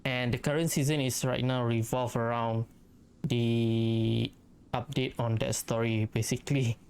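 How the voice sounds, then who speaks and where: A woman comments casually on a game as she plays it. Her voice is extremely low for a woman, and she talks slowly, in a musing and slightly hesitant way. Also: fairly even intonation, darkish tone, clear, resonant tone. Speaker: gaming streamer.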